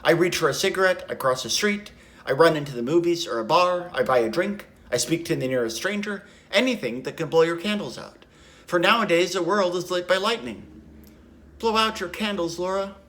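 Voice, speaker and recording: A man's voice: sits high, fairly quick, a bit bright, pitched above the performer's own voice. A man playing a haunted, guilt-ridden young poet in a lyrical, reflective theatrical monologue. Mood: wistful, haunted.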